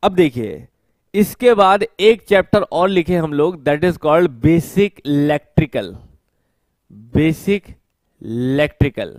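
Guy teaching on a whiteboard, headset mic, slightly high voice, dry close sound, repeats terms slow while writing. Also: expressive, darkish tone, no echo. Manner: explains step by step, repeats key terms slowly while writing; mixes English technical terms into Hindi speech. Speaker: exam coaching teacher. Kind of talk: whiteboard lecture. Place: studio with whiteboard, indoors.

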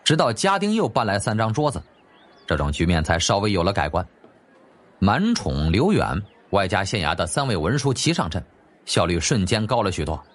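A man's voice: on the low side, very animated, moderate pace. A man narrating an audiobook as dramatic, scripted storytelling.

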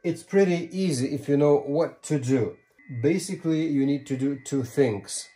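A man, explaining steps deliberately and emphatically in a tutorial style. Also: a little high, warm tone, soft background music. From Lithuania. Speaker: ham radio tutorial presenter. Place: small home radio room, indoors.

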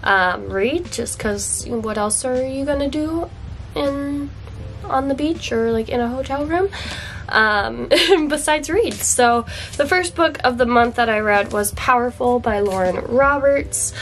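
A woman's voice: high voice, measured pace, fairly bright tone, a little hiss or hum. Young woman chatting with a congested cold. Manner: chatty and gushing, with laughs and fillers. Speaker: book vlogger. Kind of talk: casual chat to camera. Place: dim indoor room.

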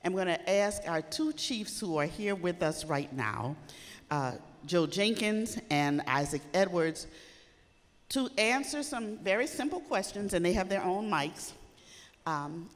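Older woman moderating with lively pitch. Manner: slow, clear questions and gentle prompting. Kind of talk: moderating a panel. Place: indoor community hall. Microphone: handheld mic. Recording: long echo in a hall.

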